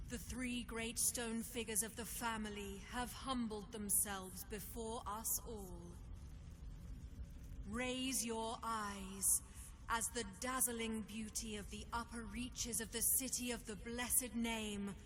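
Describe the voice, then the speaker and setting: A majestic, solemn herald in a dark fantasy game. Her slightly high voice is lofty and deliberate, trailing long hall reverb over clear ambient noise. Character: solemn, majestic female herald of holy city.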